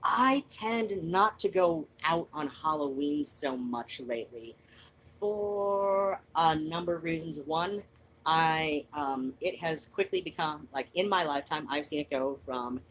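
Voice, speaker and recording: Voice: medium pitch, slight background noise, low-quality recording. A streamer chatting casually to viewers in a rambling, digressive way.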